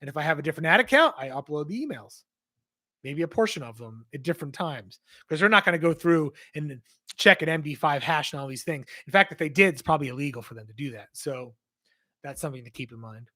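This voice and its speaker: Male livestream host, higher voice, hoarse from losing his voice, rambling answers to chat questions. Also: lively intonation, fast talker, bright, forceful tone. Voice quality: strained, hoarse. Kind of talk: casual livestream Q&A.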